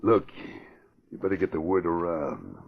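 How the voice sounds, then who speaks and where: A cold gang boss who gives curt, commanding orders. His voice is very deep. The old radio broadcast sound is dull and lo-fi. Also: big pitch swings, moderate pace, creaky, husky, heavily acted. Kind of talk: 1940s radio acting.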